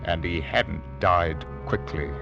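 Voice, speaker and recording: An actor playing a beleaguered male ship captain, narrating gravely in a 1940s radio drama. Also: low-pitched, slow pace, highs cut off, exaggerated voice. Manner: grave, tense, flaring into shouts. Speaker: narrator and lead. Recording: old radio broadcast with music underneath.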